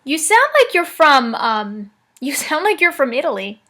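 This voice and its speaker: Bright-voiced woman playing a prank call. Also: high-pitched, sing-song, moderate pace. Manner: mock-serious, teasing. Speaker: prank caller.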